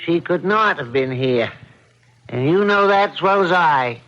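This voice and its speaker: An actor playing a skeptical middle-aged husband in a vintage radio mystery drama. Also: male voice, on the high side, lively intonation, unhurried, a bit bright. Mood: skeptical, insistent. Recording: muffled old radio broadcast.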